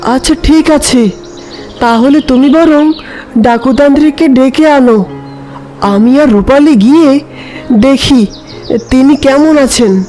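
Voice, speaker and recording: A woman's voice: high voice, takes it slowly, long pauses, mellow, soft background music. A woman voicing a friendly, concerned kite bird in a cartoon, folksy and inquisitive.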